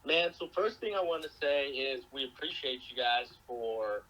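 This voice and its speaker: A man speaking politely and in a measured way, negotiating diplomatically over the phone. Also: mid-range voice, lively intonation, pushed and bright, highs cut off. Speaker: business partner on a phone call.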